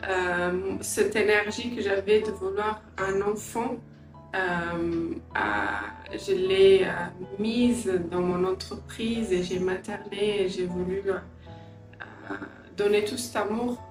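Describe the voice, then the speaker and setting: A middle-aged woman from Angola and England giving a personal interview, reflective and hesitant, often pausing mid-sentence. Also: mid-range voice. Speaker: interviewee, entrepreneur and language teacher. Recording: roomy echo, distant mic, faint music.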